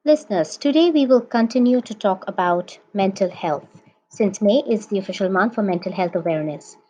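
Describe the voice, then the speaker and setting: A woman hosting a podcast, speaking in a measured, deliberate way as she introduces the show and interviews a guest. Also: medium pitch, animated, a little mellow. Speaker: podcast host. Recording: dull lo-fi sound.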